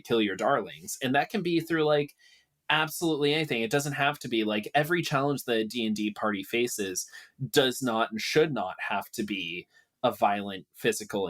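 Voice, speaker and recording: A man's voice: lowish voice, a bit bright. A man speaking as a podcast guest in casual discussion, emphatic and explanatory.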